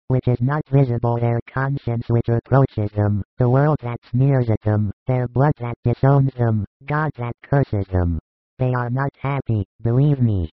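A man playing a gentle, grave religious teacher in a scripted audiobook drama, speaking in a measured, consoling way. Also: mid-range voice, no room sound, natural voice. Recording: dry, narrow phone-like sound.

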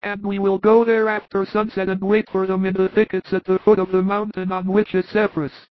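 A man voicing an eager, good-humored male apostle who talks in a lively, reassuring way. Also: high voice, fairly quick, dry recording, lo-fi sound, voice pushed up, lightly acted. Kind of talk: audiobook reading.

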